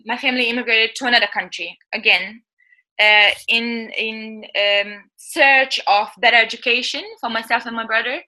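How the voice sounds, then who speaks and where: A woman's voice: on the high side, steady tone, moderate pace, pushed and bright, poor audio. A woman in her thirties, not a native English speaker, telling her life story candidly in a casual interview, often laughing and searching for words. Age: 30s. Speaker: guest.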